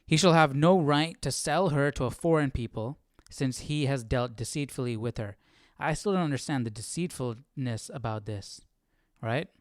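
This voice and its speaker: A man hosting an informal livestream Bible study, reading aloud and thinking out loud in a musing, hesitant, self-questioning way. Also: mid-range voice, normal speed, no echo, studio quality.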